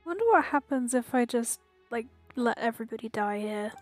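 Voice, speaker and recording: A woman's voice: a little high, expressive, normal speed. A woman making casual, offhand comments as she plays a game, with a wry manner. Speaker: gamer playing and commenting. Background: game music.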